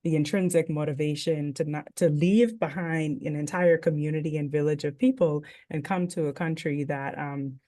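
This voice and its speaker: A woman from the Bahamas on a panel, thinking aloud in a drawn-out way with many ums. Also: deep voice, fairly even intonation, dark tone. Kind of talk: casual panel discussion.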